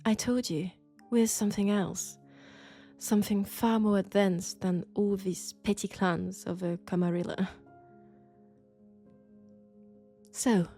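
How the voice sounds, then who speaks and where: Woman playing a slow, husky French vampire woman. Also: mid-range voice. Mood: haughty, smooth. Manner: slow, deliberate, seductive, condescending. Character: elegant, sophisticated, coolly curious female vampire scholar. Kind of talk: intimate roleplay audio drama monologue. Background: faint dark ambient music.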